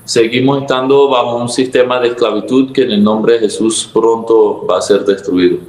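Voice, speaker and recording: A man's voice: mid-range voice, fairly even intonation, moderate pace, a little mellow, echoey room, poor audio. A man in his thirties from Venezuela with a Venezuelan Spanish accent, a pastor telling his testimony in an earnest, reflective way. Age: 30s. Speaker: pastor, guest. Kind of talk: testimony interview.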